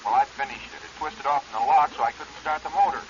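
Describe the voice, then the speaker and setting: A man's voice: mid-range voice, fast, lightly acted. An actor playing an unsuspecting, obliging male partner tending a diver's pump, plain-spoken, in 1940s radio drama. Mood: reassuring. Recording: muffled vintage radio recording, faint noise.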